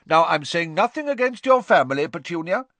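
A man voicing a loud, pompous, spiteful middle-aged aunt who has been drinking, in an audiobook. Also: a little high, big pitch swings, brisk, no echo, pitched above the performer's own voice. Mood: smug, sneering. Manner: pompous, sneering, emphatic. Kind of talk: audiobook character voice.

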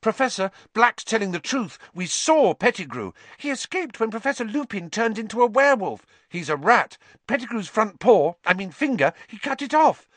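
A man's voice: high-pitched, animated, normal speed, hardly any pauses, pushed and bright, no room sound, voice pushed up, heavily acted. Man voicing an earnest, urgent schoolgirl.

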